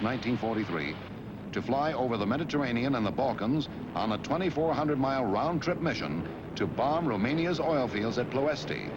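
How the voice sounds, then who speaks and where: A man's voice: medium pitch, lo-fi sound, very noisy. A man reading scripted historical narration for a war documentary.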